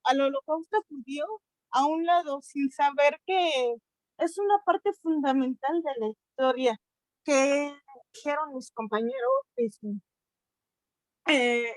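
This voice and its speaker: A teenage girl from Mexico speaks with a Mexican accent at a youth colloquium. Her voice sits high, and the sound is dry and close, with no room around it. She talks earnestly, stops to hunt for words, restarts her sentences and asks herself questions. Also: no echo, low-quality recording. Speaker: essay contest participant. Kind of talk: unscripted talk at a youth colloquium.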